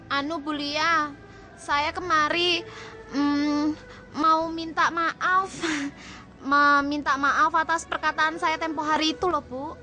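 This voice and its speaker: An actress playing a middle-aged woman shopkeeper who comes to apologize, speaking politely and haltingly in a radio drama. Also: sits high, fairly even intonation, sharp tone, soft background music, full character voice. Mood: apologetic.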